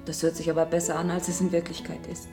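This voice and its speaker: An actress playing a modest, chatty young office clerk in a radio drama, speaking plainly and in a friendly way. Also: female voice, lowish voice, completely flat delivery, quick pace, close to an everyday voice. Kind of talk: German radio drama acting. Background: plucked guitar music.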